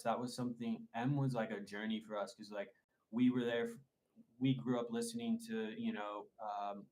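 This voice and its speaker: Casting note: young male producer, low, even delivery, rambling and enthusiastic. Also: rough recording. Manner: rambling, enthusiastic storytelling with many fillers. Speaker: music producer, interview guest. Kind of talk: casual interview chat.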